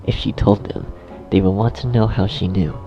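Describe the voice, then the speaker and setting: A man's voice: sits low, fairly even intonation, moderate pace. A man narrating a scripted story, recorded slightly dull. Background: faint music.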